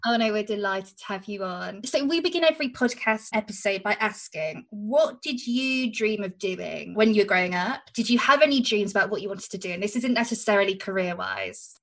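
A woman's voice: medium pitch, animated, fairly quick, hardly any pauses, fairly bright tone. A young woman hosting a podcast, presenting scripted introductions and putting questions to a guest. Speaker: podcast host, interviewer.

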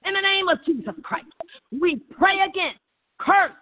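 A woman's voice: very high voice, lively intonation, measured pace. A woman leading fervent charismatic prayer in an impassioned, repetitive, chant-like way over a tinny phone line. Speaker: prayer leader. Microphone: telephone.

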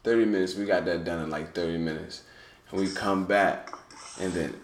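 A young man as a guest in casual chat, telling stories in a relaxed, slangy way. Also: low-pitched, moderate pace. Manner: relaxed slangy storytelling, many "you feel what I'm saying". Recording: echoey room with faint noise.

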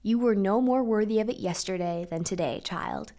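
A woman voicing a wise storybook nanny who counsels firmly but gently, with patience. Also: mid-range voice, moderate pace, natural voice. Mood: patient, admonishing. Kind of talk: storybook character reading.

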